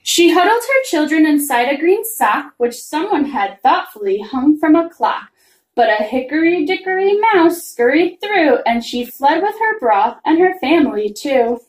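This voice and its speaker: A woman reading a rhyming children's story aloud in an expressive, singsong manner. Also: sits high, unhurried. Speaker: reader of a children's picture book. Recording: roomy, echoing room sound.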